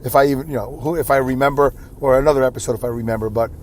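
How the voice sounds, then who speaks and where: A man hosting his own podcast with a scratchy voice, rambling in a self-deprecating way and clearing his throat often. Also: medium pitch, steady tone, very fast, darkish tone. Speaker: podcast host. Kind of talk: solo rambling podcast monologue. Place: inside a car.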